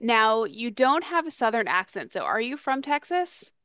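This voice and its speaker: A woman's voice: fairly high pitch, big pitch swings, normal speed, sharp tone. A woman interviewer asking friendly, curious questions about a film.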